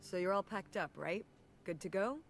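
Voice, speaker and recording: An actress playing a weary, gentle middle-aged mother in a naturalistic video game style. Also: medium pitch, normal speed, slight background noise, natural voice. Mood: gently practical.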